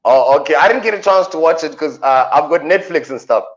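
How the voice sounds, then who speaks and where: A South African man with a deep voice, a radio co-host doing playful comic banter with drawn-out punchlines. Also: lively intonation, lo-fi sound.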